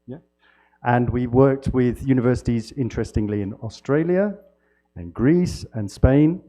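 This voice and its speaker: Loud mellow-voiced man giving a lecture. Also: mid-range voice. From United Kingdom. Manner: friendly, halting with frequent fillers and restarts. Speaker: guest lecturer. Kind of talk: university lecture. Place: lecture hall. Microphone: handheld mic.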